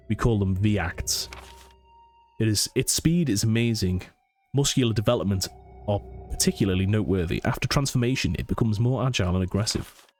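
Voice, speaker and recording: A man with a cold and a sniffly voice, casually commentating a horror game and reading its notes aloud. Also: on the low side. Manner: chatty, thinks aloud, reads text slowly. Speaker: let's play gamer. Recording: faint game music behind.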